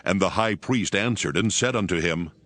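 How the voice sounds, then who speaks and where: A man's voice: low-pitched, normal speed, a bit bright. A man narrating scripture from a script, in a solemn storytelling manner. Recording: background music under the voice.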